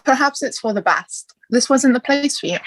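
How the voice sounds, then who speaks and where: A woman playing an earnest male teacher and mentor, formal, measured and gently reproachful, in a theatrical table read. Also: high voice, animated, rough recording. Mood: regretful, firm.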